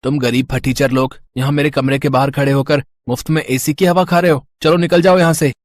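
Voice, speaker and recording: A man's voice: mid-range voice, fairly even intonation, fairly quick, dry recording, studio quality, lightly acted. A man voicing a spoiled, rude rich boy in a moral story, bratty and scornful.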